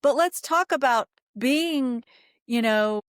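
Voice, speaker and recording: A woman's voice: sits high, slow pace, clean tone, dry recording, studio quality. Effusive woman hosting a podcast. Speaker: podcast host. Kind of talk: casual conversational interview.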